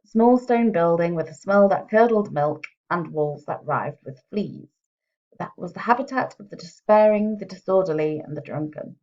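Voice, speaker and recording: Low-voiced, creaky woman author, muffled recording. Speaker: author reading own book. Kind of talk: chatty introduction, then expressive audiobook-style reading. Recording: dull, muffled home recording.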